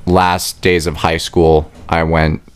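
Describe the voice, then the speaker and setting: A young American man chatting casually on a podcast, animated and playful, stretching words out for emphasis. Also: sits low, slow pace, smooth. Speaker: podcast guest.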